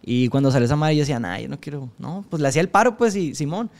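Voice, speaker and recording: A man's voice: on the high side, rapid-fire, darkish tone. A man, a podcast guest chatting casually in slangy speech. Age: 20s. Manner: slangy, says "güey" constantly, laughs often. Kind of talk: casual chat.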